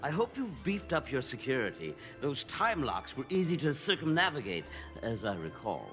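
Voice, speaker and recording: A sardonic cartoon crook with airs of refinement. His voice rides huge pitch swings as he purrs out barbs, oily and self-satisfied. Music hums faintly behind him. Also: huge pitch swings, normal speed. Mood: smug. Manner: smug, sardonic, mock-courtly. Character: scheming grown man, a short stout criminal with refined airs. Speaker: villain. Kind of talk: animated series voice acting.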